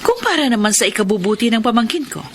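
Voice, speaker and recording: A woman's voice: on the high side, lively intonation, fast, close to an everyday voice. A woman playing a stern, protective middle-aged aunt in a radio drama, grave and insistent, with a Filipino accent. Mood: stern, accusing. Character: Tita Lia, stern protective meddling aunt. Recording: noisy background, some music.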